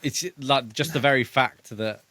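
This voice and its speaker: A man's voice: mid-range voice, moderate pace, bright, forceful tone, dry recording. A man co-hosting a podcast, casually discussing a book in a chatty, jokey way. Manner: chatty, jokey, prone to laughing. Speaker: podcast co-host.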